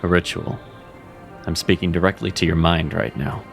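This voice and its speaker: A man playing a gravelly, teasing mage mentor. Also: low-pitched, moderate pace, soft background music. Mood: reassuring. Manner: easygoing, teasing, chuckles often. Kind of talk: audio drama acting.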